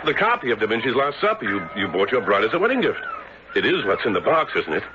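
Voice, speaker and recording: A man's voice: mid-range voice, very animated, fast, close to an everyday voice. A man playing a genial, inquisitive married fellow in 1970s radio drama, talkative and exclamatory, stammering when flustered. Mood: teasing, eager. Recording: very noisy, muffled old radio broadcast recording.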